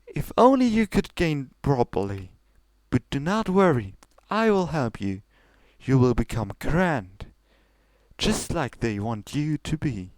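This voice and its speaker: A man's voice: mid-range voice, sing-song, slow pace, darkish tone, dry recording, voice pushed up, rough recording. A man voicing an imperious, pushy female pony princess who commands and soothes with condescending sweetness in a fantasy story. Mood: falsely reassuring.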